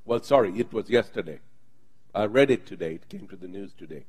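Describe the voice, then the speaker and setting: A man's voice: lowish voice, animated, fairly quick, a little mellow, breathy edge. Fervent preacher man leading prayer. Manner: fervent, emphatic preaching with bursts of intensity. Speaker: pastor. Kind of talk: sermon and congregational prayer.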